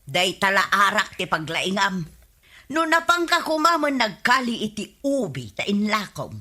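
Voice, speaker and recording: Lively, gossipy village wife. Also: female voice, medium pitch, a little slow, heavily acted. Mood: gossiping, scolding. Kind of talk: Ilocano radio comedy drama acting.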